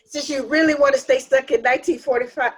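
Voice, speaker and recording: Actress as high-voiced sardonic, irritable woman. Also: steady tone, fast talker. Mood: mocking, exasperated. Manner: sardonic, theatrical, exasperated. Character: sarcastic, irritable adult daughter mocking mournful hymns. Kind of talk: dramatic play reading.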